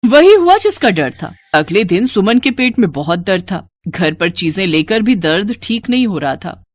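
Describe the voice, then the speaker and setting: A woman's voice: medium pitch, sing-song, normal speed. A woman narrator reading a scripted moral story.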